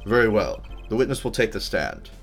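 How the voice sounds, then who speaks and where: A man's voice: on the low side, very animated, brisk, soft background music. A man voicing a stern, formal courtroom judge in a video game, speaking with authority. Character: stern, formal male courtroom judge presiding over trial. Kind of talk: video game dialogue read aloud.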